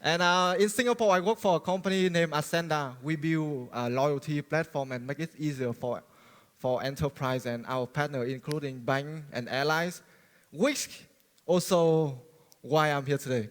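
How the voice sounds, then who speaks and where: Man speaking in a hall. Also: on the high side. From Vietnam. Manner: friendly, halting, many fillers and restarts. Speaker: conference speaker. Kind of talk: technical conference talk. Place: large conference hall. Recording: long reverb of a large hall.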